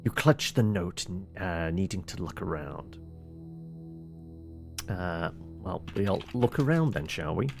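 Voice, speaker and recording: A man playing a text adventure game, reading it aloud dramatically with muttered commands and halting, casual asides. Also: low voice, animated, gravelly, music in the background.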